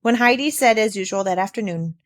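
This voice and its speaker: A woman's voice: medium pitch, fairly quick, no room sound, studio quality. A woman narrating a classic children's story, reading aloud from a script. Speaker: audiobook narrator.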